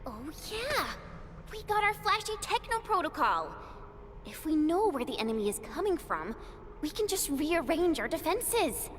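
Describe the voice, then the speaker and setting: A woman's voice: very high voice, sing-song, normal speed, noisy background. A woman playing a friendly, spirited young female fighter in a video game, informal and eager. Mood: excited. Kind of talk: dramatic video game cutscene acting. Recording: music behind the voice.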